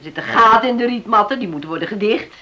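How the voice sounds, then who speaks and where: A woman's voice: on the high side, quick pace, sharp tone. A woman acting in a Dutch radio drama as a shrewd, self-assured farm owner with a businesslike manner. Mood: firm. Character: shrewd, firm widowed farm owner driving a bargain.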